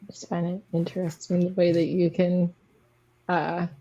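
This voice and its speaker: A woman's voice: fairly low pitch, flat delivery, moderate pace, dark tone, breathy edge, lo-fi sound. A woman chatting casually with friends while she runs a quiz for the group. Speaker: quiz host in a group chat. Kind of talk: casual chat.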